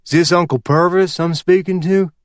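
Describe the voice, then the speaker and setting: A man's voice: sits high, moderate pace, dry recording, clean studio sound, voice pushed up, natural voice. A man doing a dim young hooch seller. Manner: flat, dim, deferential.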